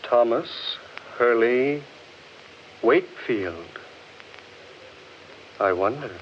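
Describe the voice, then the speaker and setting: An actor playing a sociable, skeptical English gentleman in 1940s radio horror, genteel and flippant. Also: mid-range voice, expressive, drawn-out words. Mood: pondering. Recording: muffled, noisy vintage radio recording.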